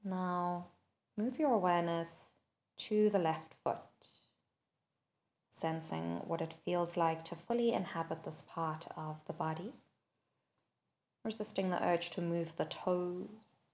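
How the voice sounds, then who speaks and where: A woman leading a guided body scan meditation, giving gentle, measured instructions with long pauses between phrases. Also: on the low side. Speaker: podcast host and meditation teacher.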